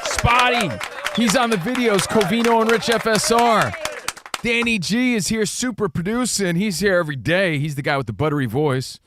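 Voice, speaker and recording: A man co-hosting a sports radio show, talking in an energetic, jokey way about baseball and pop culture. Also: high voice, normal speed. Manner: energetic, hyped, jokey rhymes. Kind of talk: casual sports talk show banter.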